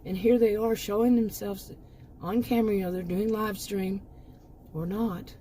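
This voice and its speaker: A middle-aged woman talks straight to her viewers in a rambling, emphatic way, doubling back on her words. Her voice is mellow and warm, with a hoarse edge, since she is losing it. A faint hiss of noise sits under the recording. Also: mid-range voice, steady tone, moderate pace. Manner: rambling, emphatic, with frequent restarts and asides. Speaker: commentary channel host. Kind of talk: casual opinion monologue.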